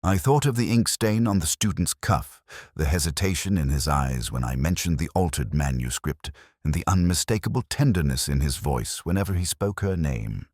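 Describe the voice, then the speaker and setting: A man narrating a scripted first-person detective story as a brooding, reflective investigator, in a measured, literary manner. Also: rumbling low, lively intonation, a little mellow, no room sound, studio quality. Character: melancholy, reflective male police detective telling his own case.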